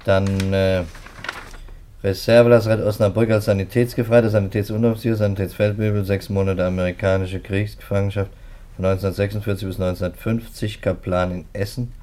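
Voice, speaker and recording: An actor playing a sceptical, methodical police detective in a 1950s radio play, speaking in a clipped, businesslike manner. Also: male voice, on the low side, little pitch movement, fairly quick, dark tone, lightly acted. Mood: matter-of-fact. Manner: businesslike, clipped, rattles off facts.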